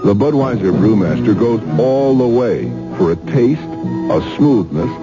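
A man's voice: lowish voice, expressive, a little slow, over loud music. A man reading a scripted 1970s radio commercial in a conversational, folksy style. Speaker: commercial announcer. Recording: dull 1970s radio broadcast.

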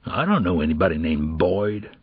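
A man voicing a weary, earnest ex-convict and troubled father, speaking plainly in street slang in an audiobook, with a husky voice. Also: sits low, sing-song, normal speed, no echo, lo-fi sound, full character voice. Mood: confused, pleading. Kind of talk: audiobook character dialogue.

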